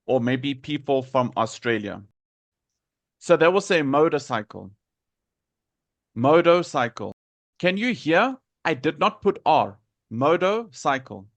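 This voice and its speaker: A South African man teaching English in a live lesson, deliberately repeating and enunciating words for learners. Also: medium pitch, animated, fairly bright tone, no room sound. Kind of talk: live lesson explaining pronunciation to students.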